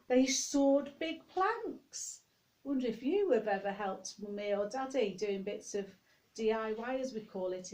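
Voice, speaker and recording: Casting: female children's storyteller, higher-pitched, very unhurried, expressive, drawn-out emphasis, chatty asides to little listeners. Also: low-quality recording. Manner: expressive, addressing young listeners directly. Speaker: minister reading a story to young children.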